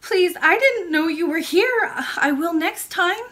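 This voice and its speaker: A woman's voice: extremely high pitch, expressive, a little slow, a bit bright, voice pushed up, heavily acted. A woman voicing a curious, polite and innocent little princess in a storybook, with eager, childlike questioning. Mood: apologetic.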